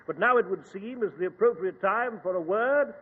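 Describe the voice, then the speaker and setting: An actor playing a genteel British gentleman doctor who recounts detective adventures in an old-fashioned 1940s radio drama style. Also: high voice, normal speed, husky. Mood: genial storytelling. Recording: hissy, narrow-band 1940s radio broadcast, reverberant.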